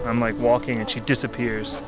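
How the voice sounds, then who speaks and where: A man's voice: mid-range voice, level tone, a little fast, low-quality recording. Easygoing man vlogging outdoors, music under. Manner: upbeat, easygoing, laughs often. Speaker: travel vlogger. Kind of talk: casual travel vlog chat. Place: outdoors on a volcano summit. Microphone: handheld camera at arm's length.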